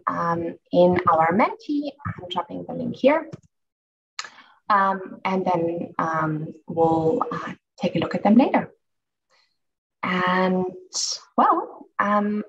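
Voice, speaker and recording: A woman's voice: medium pitch, a bit bright. A woman hosts an online session, friendly but hesitant, with many ums and restarts. Her voice comes through dull and a little distant over a video call. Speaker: moderator.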